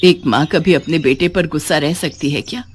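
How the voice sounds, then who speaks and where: A woman's voice: low-pitched, normal speed, slight background noise, lightly acted. A woman voicing a kind, forgiving elderly village mother in a moral story, tender and pleading. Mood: tender, consoling. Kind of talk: animated moral story dialogue.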